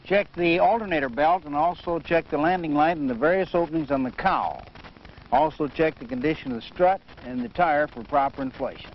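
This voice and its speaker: A man giving methodical, measured instruction in the manner of a training film, as a flight safety instructor. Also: fairly high pitch, lively intonation. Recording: muffled noisy vintage training film soundtrack.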